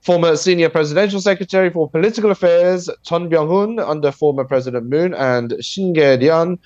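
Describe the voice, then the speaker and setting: A man with a head cold gives a plain, measured news explainer over a video call. His voice is nasal and a bit husky, and he fills pauses with "uh". The audio is dull, dry and muffled. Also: a little high, no echo. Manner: steady explanatory delivery with many fillers. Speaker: news commentator. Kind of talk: radio news discussion.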